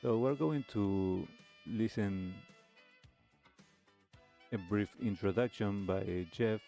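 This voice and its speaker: Male talk host, very unhurried and mellow, reflective commentary in halting, measured phrases, music underneath. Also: lowish voice, vocal fry. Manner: measured, halting phrasing with pauses between short clauses. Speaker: host of a live retro music talk show. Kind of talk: reflective commentary, reacting to a video. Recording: music playing behind the voice. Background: music with guitar.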